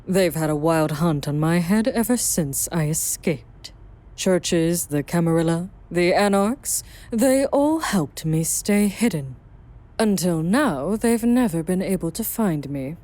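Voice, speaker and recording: A brooding vampire woman acted by a woman from an audio drama, worldly and guarded. Her voice is mellow and on the low side, and it swings widely in pitch as she lays things out. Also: lively intonation, takes it slowly. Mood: guarded, confessional. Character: self-exiled female vampire sire, worldly, guarded, brooding.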